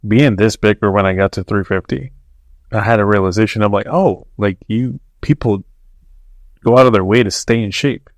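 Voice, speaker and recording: A man's voice: fairly low pitch, normal speed, dry recording, clean studio sound. A man from Mexico, a podcast host, talking loosely and profanely with plenty of laughter. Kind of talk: casual chat.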